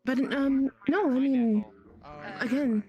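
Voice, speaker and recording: A woman talking in an improvised roleplay, halting and flustered as she searches for words. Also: high voice, lo-fi sound. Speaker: player in an online roleplay. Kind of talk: improvised in-character conversation.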